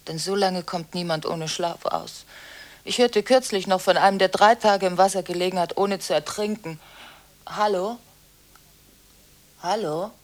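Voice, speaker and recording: An actress playing a restless, contradictory woman on the phone late at night in a radio drama, halting and anxious, shifting between hushed and insistent. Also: fairly low pitch. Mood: hushed, probing. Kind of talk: radio monodrama acting, one side of phone conversations.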